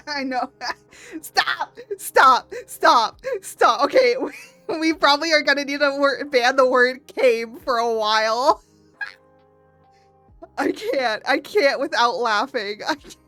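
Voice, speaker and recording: A young woman streaming, her voice hoarse from laughing, breaking into giggles as she chats. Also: high voice, expressive, slow, sharp tone, no room sound, soft background music. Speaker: VTuber artist streamer. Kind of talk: casual live stream chat.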